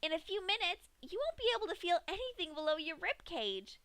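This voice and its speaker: A woman's voice: very high-pitched, very animated, fairly quick, pushed and bright, no room sound, pitched above the performer's own voice, exaggerated voice. A woman putting on a giddy sadistic pink pony. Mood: sweetly menacing. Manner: chirpy and singsong, cheerfully menacing.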